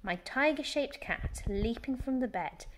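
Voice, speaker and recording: A woman reading a children's storybook aloud as a teacher, with animated, dramatic emphasis. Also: high voice, measured pace, slight background noise. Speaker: primary school teacher reading story time.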